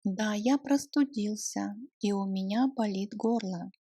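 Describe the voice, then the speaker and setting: A woman narrating a language lesson, reading short scripted phrases deliberately and separating the words for learners. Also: mid-range voice, mellow, no room sound, studio quality.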